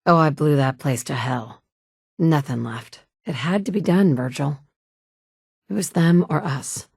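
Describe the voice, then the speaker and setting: A woman's voice: low-pitched, a little slow, creaky, a little mellow, breathy edge, no room sound, clean studio sound, exaggerated voice. A woman voicing a determined young mother who searches a ruined world for her kidnapped son, blunt and dryly sarcastic, recorded as video game dialogue. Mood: steady. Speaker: player character voice actress. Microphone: studio mic.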